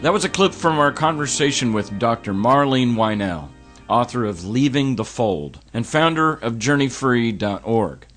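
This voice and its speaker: A middle-aged man hosting a podcast, talking in an earnest, reflective way that turns halting when he speaks of personal matters. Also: mid-range voice, animated, fairly bright tone, soft background music. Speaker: podcast host. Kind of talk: podcast intro and interview.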